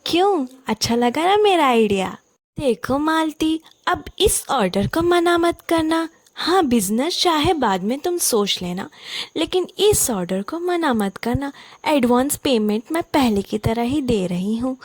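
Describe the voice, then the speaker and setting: An eager, cheerful cartoon neighbor woman, as a woman acts her out, pitched high and pushing her idea with excitement. Dry, close recording. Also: measured pace, dry recording. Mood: eager coaxing. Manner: eager, encouraging.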